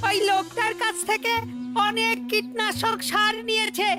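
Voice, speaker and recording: A woman's voice: very high-pitched, flat delivery, normal speed, loud music behind the voice. A woman voicing an eager, loyal small village child in a Bengali cartoon.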